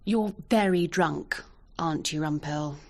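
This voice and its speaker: Stern, proud English wife. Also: female voice, low voice, unhurried, a little mellow. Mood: reproving. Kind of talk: radio drama acting.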